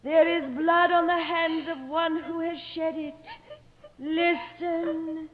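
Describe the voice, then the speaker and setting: An actress playing a mournful, commanding spirit medium in old radio drama style, with a slow, wailing, solemn delivery. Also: female voice, very high-pitched, steady tone. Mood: ominous. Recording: muffled old radio, long hall reverb.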